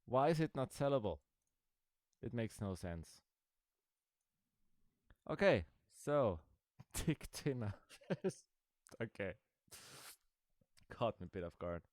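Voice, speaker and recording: A man's voice: medium pitch, sing-song, takes it slowly, long pauses, a little mellow, no echo. A man giving casual, rambling commentary over a game he is playing, with dry, sarcastic asides. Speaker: gaming video creator.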